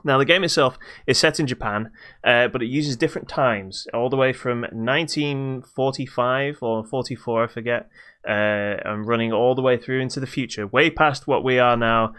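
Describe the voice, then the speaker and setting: A man's voice: mid-range voice, moderate pace, a bit bright, smooth. A man co-hosting a podcast, chatting casually about games with playful asides. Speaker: podcast co-host.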